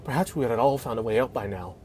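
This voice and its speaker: An actor playing a remorseful but determined young male leader in an amateur script read, apologetic yet steady. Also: medium pitch, rapid-fire, natural voice. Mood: somber, cold. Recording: faintly noisy home microphone recording.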